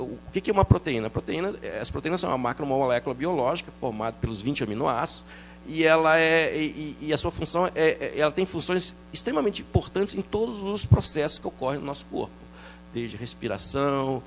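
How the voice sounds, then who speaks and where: A middle-aged man from France, a researcher explaining his work in a TV interview, quick and animated, with many restarts and fillers. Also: medium pitch, gravelly, a little hiss or hum. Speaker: researcher guest.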